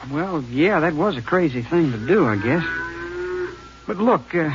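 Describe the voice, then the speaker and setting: A man's voice: fairly high pitch, animated, measured pace. An actor playing a nervous young man who hesitates and stammers, in a 1950s radio drama. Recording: dull lo-fi old radio broadcast with faint hiss.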